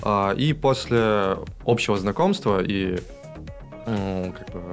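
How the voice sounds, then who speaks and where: A man in his thirties, a guest in a studio interview, explaining his tourism project with many pauses and fillers. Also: lowish voice, lively intonation, faint music behind. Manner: explanatory, with frequent hesitations. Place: radio studio. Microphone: studio mic on stand.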